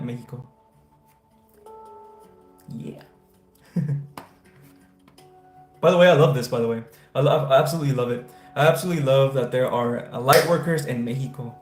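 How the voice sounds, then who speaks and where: Upbeat spiritual streamer man, roomy sound. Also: medium pitch. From United States. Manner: enthusiastic, repeats "man" and "guys", affirmation-style blessings. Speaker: spiritual livestream host. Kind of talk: casual livestream chat, reading viewer comments. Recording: roomy echo, faint guitar music. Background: faint guitar music.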